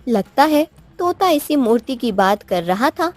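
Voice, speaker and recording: A woman's voice: sits high, big pitch swings, a little slow, faint music behind, voice pushed up, exaggerated voice. A woman voicing an eager, easily surprised young village wife in a cartoon story, full of exclamations and questions. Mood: amazed. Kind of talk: cartoon moral story acting.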